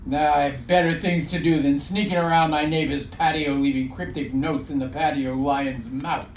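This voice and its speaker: An actor playing an evasive, flippant suspect with an offhand, dismissive manner in a comic radio play. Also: male voice, on the high side, fairly even intonation, moderate pace, natural voice. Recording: echoey distant rehearsal, faint noise.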